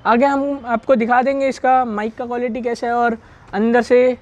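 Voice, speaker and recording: A woman's voice: on the high side, flat delivery, moderate pace, darkish tone, lo-fi sound. A woman casually walking through a hands-on product installation, chatting as she works step by step. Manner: chatty, explains hands-on while working. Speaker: gear reviewer.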